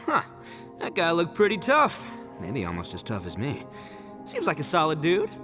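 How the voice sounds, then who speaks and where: A man's voice: fairly high pitch, wildly swooping pitch, moderate pace, music in the background. A man voicing a cocky, headstrong young brawler in a video game, brash, slangy and swaggering. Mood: cocky, laid-back. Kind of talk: English video game voice acting.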